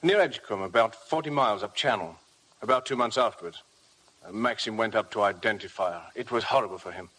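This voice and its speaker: An actor playing a discreet, earnest estate manager, grave and careful, in 1950s radio drama style. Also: male voice, fairly low pitch, fast, fairly bright tone, breathy edge, low-quality recording. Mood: grave, reluctant.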